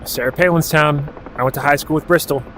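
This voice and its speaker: A man's voice: a little high, fairly quick, slight background noise. A man from Alaska streaming a helicopter game, chatting casually while calling out directions and ranges. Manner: relaxed and profane, calling out clock directions and ranges. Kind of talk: casual gaming livestream commentary.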